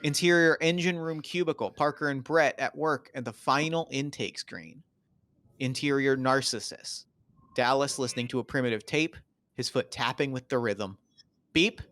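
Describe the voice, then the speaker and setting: A man's voice: medium pitch, normal speed, fairly bright tone. A man reading stage directions as the narrator of a scripted table read.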